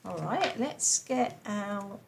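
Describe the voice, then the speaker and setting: A woman with a croaky, slightly nasal voice from a cold, chatting warmly and reassuringly through a pick-a-card tarot reading. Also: medium pitch, lively intonation, slow pace, noisy background. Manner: chatty, reassuring, many fillers. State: croaky voice from a cold, blocked nose. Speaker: tarot reader.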